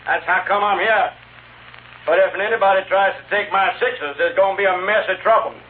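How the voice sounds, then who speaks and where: A man's voice: high voice, a little fast, natural voice. A man playing a defiant, swaggering Western gunslinger with an American drawl in a 1950s radio drama. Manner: stubborn, menacing. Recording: tinny, echoey, hissy 1950s radio recording.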